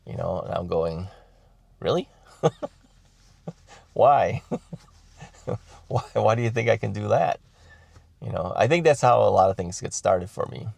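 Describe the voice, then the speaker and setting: A man's voice: on the low side, animated, slow, gravelly, pauses often, a little mellow, no echo. A middle-aged man from the Chicago area chatting casually to camera, rambling with many fillers, restarts and chuckles. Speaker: vlogger.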